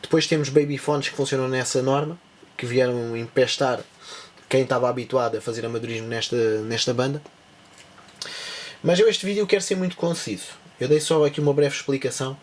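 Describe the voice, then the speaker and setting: A man with a European Portuguese accent giving a casual spoken tutorial, rambling, with many restarts and asides. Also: medium pitch. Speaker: tech channel presenter.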